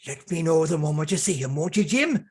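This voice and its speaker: A man playing a gruff, wary, hard-drinking old sea captain in a radio play. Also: on the high side, animated, fairly quick, a little mellow. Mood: furtive, coaxing.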